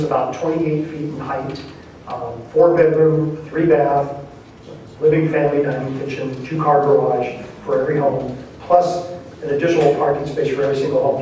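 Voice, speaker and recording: A man's voice: on the high side, warm tone. A man presenting a housing development at a public meeting, explaining patiently and often hedging with "kind of". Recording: echoey hall from far off, faint noise.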